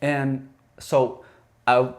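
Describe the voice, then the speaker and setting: A Somali-born man around thirty, co-hosting a casual podcast chat, animated and full of restarts. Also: mid-range voice, extremely slow. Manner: animated, frequent restarts and "you know what I'm saying". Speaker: podcast co-host. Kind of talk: casual conversation.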